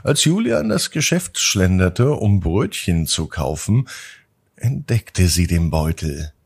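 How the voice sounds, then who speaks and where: A man hosting a children's bedtime podcast, narrating in a gentle, drawn-out storytelling manner. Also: low-pitched, very animated, creaky, husky.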